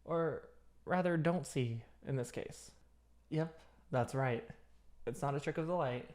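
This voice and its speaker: A performer with a mid-low voice voicing an obsessive, dominant vampire lab technician in an intimate, chatty ASMR roleplay. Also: a little slow, a little mellow, natural voice. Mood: casually teasing.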